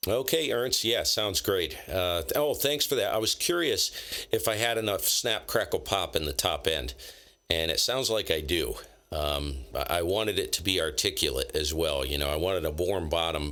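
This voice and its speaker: A man's voice: sits low, normal speed, pushed and bright. A man chatting casually over ham radio, enthusiastic and full of call signs and gear talk. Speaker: ham radio operator, channel host. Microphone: station microphone.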